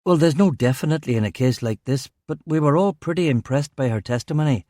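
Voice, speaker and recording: A man's voice: a little high, lively intonation, fast talker, dark tone, dry recording, studio quality, close to an everyday voice. Man as a rattled, deferential male police detective. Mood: deferential, factual. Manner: defensive, deferential. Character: male detective narrator speaking, rattled, sweary, deferential to superiors. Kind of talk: audiobook character dialogue.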